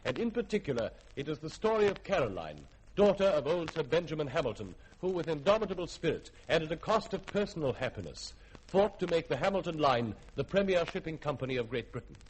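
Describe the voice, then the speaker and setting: Lively, husky 1950s radio compere, man. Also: a little high, fast talker, low-quality recording. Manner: formal, theatrical. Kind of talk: 1950s scripted radio announcing.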